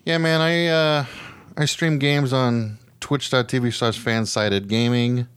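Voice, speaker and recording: A man's voice: medium pitch, fairly even intonation, measured pace, fairly bright tone, smooth, dry recording. A man hosting a podcast in casual chat, talking informally with some mild swearing and self-deprecation. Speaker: podcast host.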